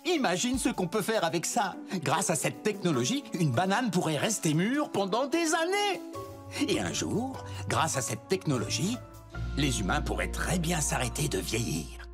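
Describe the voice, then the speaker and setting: A woman voicing an enthusiastic, didactic scientist in a French cartoon dub, explaining precisely. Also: low voice. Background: music.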